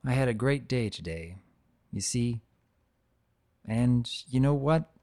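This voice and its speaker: An old dragon played by a man tells his story in a mid-pitched voice. He is gentle and nostalgic, speaking with reflective, sentimental care, as in a dramatic storybook reading. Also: expressive, slow pace. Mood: tender, quiet. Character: aged dragon, gentle, nostalgic, fondly guarding keepsakes.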